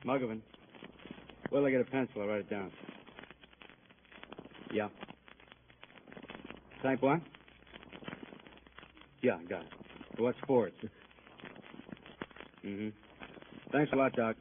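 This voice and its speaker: An actor playing a businesslike police sidekick, blunt and matter-of-fact, in 1950s radio crime drama style. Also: male voice, medium pitch, slow, pauses often, natural voice. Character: plain-spoken, businesslike police sergeant sidekick. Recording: tinny, noisy old radio recording.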